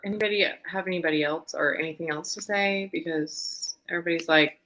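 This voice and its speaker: A woman's voice: fairly low pitch, brisk, fairly bright tone, rough recording. A woman hosting a casual book chat live show, repeating phrases for emphasis.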